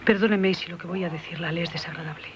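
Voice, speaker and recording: A woman's voice: on the low side, steady tone, fast, close to an everyday voice. An actress with a Castilian accent playing a humble, troubled married woman in love in a 1970s radio play, formal and hesitant. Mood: pained, confessing. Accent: Castilian Spanish from Spain. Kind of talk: 1970s radio theatre acting. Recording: muffled old radio broadcast.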